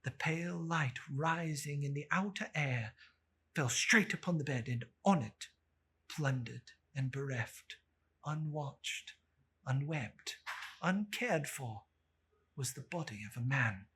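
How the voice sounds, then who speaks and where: A narrator giving a solemn, theatrical, deliberate dramatic reading of a classic story. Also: mid-range voice, animated, a bit bright, husky.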